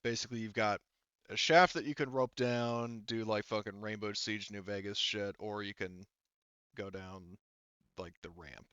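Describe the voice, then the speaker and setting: A man running a tabletop game as game master, narrating casually in a halting, rambling way full of filler phrases. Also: fairly low pitch, fairly even intonation, a bit bright, clean tone, no echo, lo-fi sound. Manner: halting, rambling, frequent "like" and "you know"; casual swearing.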